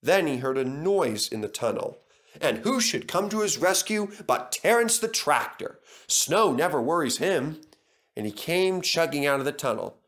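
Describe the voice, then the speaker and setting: A man's voice: on the high side, sing-song, normal speed, fairly bright tone. A man reading a children's story aloud as a scripted narration.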